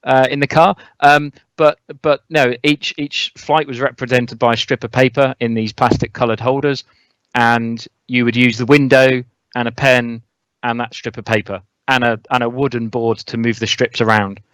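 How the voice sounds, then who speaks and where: A man with a smooth, clean voice and very even intonation, recorded close and dry. He talks as an expert guest, explaining in a measured, conversational way. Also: medium pitch, no echo, rough recording. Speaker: air traffic controller, interview guest.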